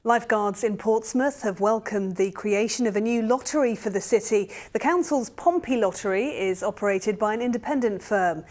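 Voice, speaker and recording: A woman's voice: medium pitch, normal speed, few pauses, darkish tone. British woman reading regional news. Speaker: news presenter.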